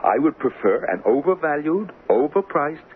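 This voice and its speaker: Suave sly gentleman, pompous formal phrasing, animated delivery, radio drama, faint hiss. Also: a little high, normal speed. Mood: smugly contrary. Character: sly, suave male customer, courteous and perversely confident. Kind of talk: old-time radio drama acting. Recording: lo-fi hissy old radio recording.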